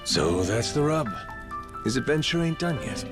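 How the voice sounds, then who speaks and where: A man's voice: mid-range voice, sing-song, moderate pace, music in the background, natural voice. Man playing a cocky gangster, wildly swooping voice. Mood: casual, earnest. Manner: cocky, profane, slangy drawl. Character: brash, playful, rough-talking Yakuza man who lost his memory. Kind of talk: video game cutscene acting.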